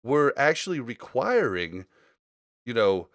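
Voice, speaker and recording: A man's voice: mid-range voice, sing-song, slow, fairly bright tone, no room sound, clean studio sound. A man chatting casually on a gaming podcast, giving opinions in a rambling, storytelling way. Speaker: podcast host.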